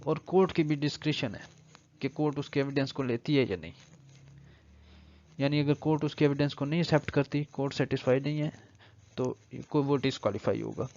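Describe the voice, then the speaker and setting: A man's voice: mid-range voice, fairly quick, a little mellow, muffled recording. A man with a Pakistani accent giving an explanatory law lecture, mixing in English legal terms and reading passages aloud before paraphrasing them.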